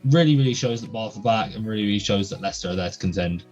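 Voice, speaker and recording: A man's voice: fairly low pitch, rapid-fire, a little mellow, faint music behind. Young guy hosting a chat podcast, lots of ums, kinda muffled lo-fi audio. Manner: chatty, many ums and uhs. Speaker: podcast host.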